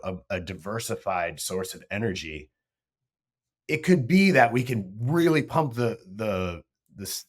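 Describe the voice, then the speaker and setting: A man co-hosting a casual market discussion, animated and full of trailing restarts. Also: fairly low pitch. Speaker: podcast co-host.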